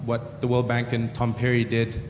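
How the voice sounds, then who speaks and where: A man's voice: mid-range voice, completely flat delivery, normal speed. A man speaking as a panelist at a conference, hesitant with many uhs and restarts. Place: large conference hall. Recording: echoey hall reverb, faint noise.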